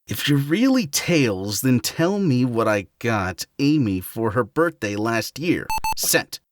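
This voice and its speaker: A man's voice: medium pitch, sing-song, takes it slowly, no echo, clean studio sound. A man voicing an easygoing, goofy hedgehog hero in a fan audio drama, casual and playful. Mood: casual, curious.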